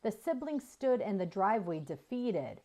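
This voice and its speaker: A woman reading a children's book aloud as an expressive storyteller, with deliberate emphasis for young listeners. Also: mid-range voice. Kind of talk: expressive scripted children's narration.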